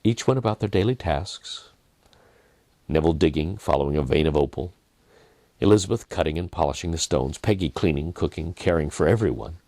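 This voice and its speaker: A man reading a dramatic story in a measured manner, with deliberate pauses and softened phrases. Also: a bass voice, expressive, dry recording. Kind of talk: dramatic scripted narration.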